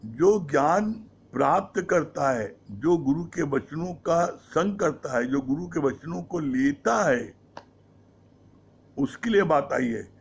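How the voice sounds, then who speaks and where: A man delivering a spiritual discourse in a slightly raised voice. He talks at an unhurried, deliberate pace. He poses rhetorical questions and answers them himself. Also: fairly high pitch, lively intonation, creaky, slight background noise. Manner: slow, measured explaining with rhetorical questions; mixes English words into Hindi. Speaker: satsang speaker. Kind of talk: devotional discourse on scripture.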